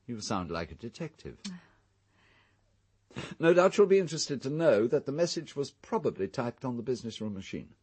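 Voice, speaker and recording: An English actor in a radio drama playing an urbane, fastidious gentleman host, wry and formal in manner. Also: mid-range voice, sing-song, husky. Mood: dry, measured. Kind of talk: British radio drama acting.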